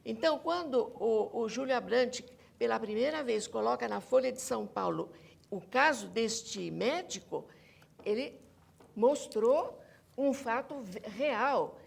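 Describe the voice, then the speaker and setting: Female panel guest, Brazilian accent. High, gravelly voice, insistent academic delivery. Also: lively intonation, measured pace. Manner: emphatic, insistent, repeats points. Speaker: academic guest, researcher and author. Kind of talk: panel interview discussion.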